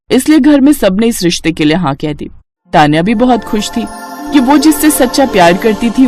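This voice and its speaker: A woman storyteller giving scripted narration of a family tale. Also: mid-range voice.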